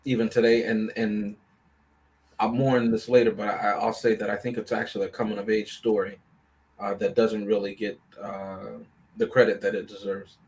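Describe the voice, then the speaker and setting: A man on a movie review panel, explaining and discussing a film casually in a measured, deliberate way. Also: lowish voice, level tone, darkish tone.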